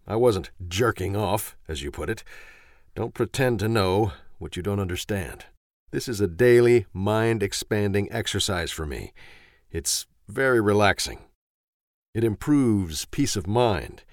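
A man playing a defensive, condescending husband who lectures curtly in a low voice. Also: dry recording, clean studio sound. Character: defensive pompous middle-aged husband.

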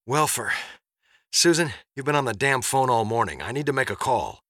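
A man's voice: medium pitch, very animated, normal speed, a bit bright, no room sound, studio quality, pitched above the performer's own voice. A man voicing a grumpy, impatient middle-aged father in an audiobook, curt and irritated. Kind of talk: audiobook character voice.

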